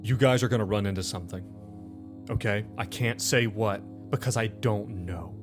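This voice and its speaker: A man's voice: lowish voice, normal speed, music in the background, lightly acted. A man voicing a tense, threatening, foul-mouthed woman agent in a tabletop game, clipped and insistent as she smokes. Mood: guarded, insistent. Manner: clipped, insistent, mumbling around a cigarette.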